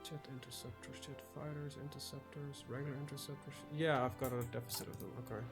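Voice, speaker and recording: A man's voice: mid-range voice, little pitch movement, fast talker, music in the background. A young man, rambling through casual gameplay commentary with lots of fillers. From Netherlands. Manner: rambling, chatty, trails off with fillers. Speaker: gaming video host.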